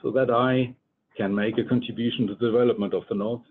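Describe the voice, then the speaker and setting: An older man from Germany gives a research presentation in English as a scientist, halting and deliberate. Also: steady tone. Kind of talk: conference presentation. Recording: muffled phone-like line, rumbling noise.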